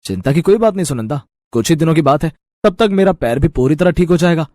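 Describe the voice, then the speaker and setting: A man's voice: a little high, expressive, quick pace, a little mellow, dry recording, studio quality, natural voice. A man voicing a supportive husband of modest means in a cartoon story, speaking plainly and encouragingly.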